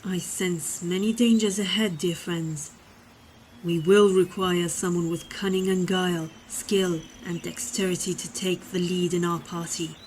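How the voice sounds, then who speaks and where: A woman's voice: lowish voice, fairly even intonation, a little slow, a little mellow, a little hiss or hum, natural voice, poor audio. A woman playing a solemn, courteous, mystical cleric in a fantasy comedy audio drama, speaking formally and earnestly. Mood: solemn, portentous.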